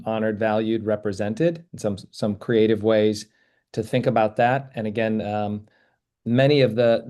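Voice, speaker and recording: A man hosting a webinar, speaking in an appreciative, measured way as he thanks people and takes questions. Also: lowish voice, mellow. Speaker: webinar host. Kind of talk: webinar presentation and Q&A.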